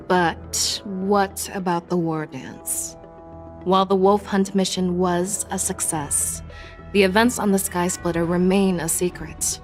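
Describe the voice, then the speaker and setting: A female actor as a self-assured, strategic woman general in a fantasy game. She speaks in a slightly low voice with formal, calculating poise. Faint music accompanies her. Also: close to an everyday voice. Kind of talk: scripted video game dialogue.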